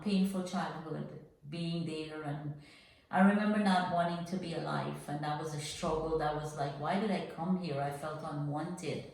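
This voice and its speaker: An older woman from Guyana telling her life story as a podcast guest, earnest and reflective, with frequent pauses. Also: sits low, a little mellow, heavy reverb, far from the mic, low-quality recording.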